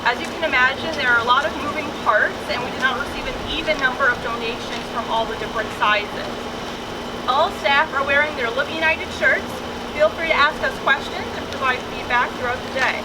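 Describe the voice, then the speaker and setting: A soft-voiced woman with a high pitch reads out orderly instructions for an outdoor charity event. Heavy background noise runs under her voice. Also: brisk. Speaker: event organizer. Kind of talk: reading announcements. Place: outdoor parking lot.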